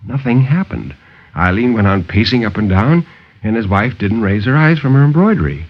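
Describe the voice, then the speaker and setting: A man's voice: lowish voice, sing-song, normal speed. A man narrating as a storyteller in dramatic 1950s radio horror style. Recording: muffled 1950s radio broadcast.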